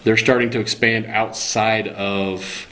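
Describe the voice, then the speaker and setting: A man co-hosting a casual chat, chatty and quick to chime in. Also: fairly low pitch, level tone, sharp tone, slight background noise. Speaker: podcast co-host.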